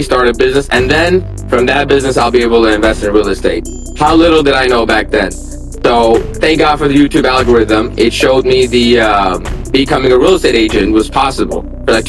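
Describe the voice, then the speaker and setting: A man's voice: mid-range voice, a bit bright, poor audio. A young man from Armenia, a real estate investor, telling his story in a candid, plainspoken interview. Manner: candid, plainspoken, lots of fillers.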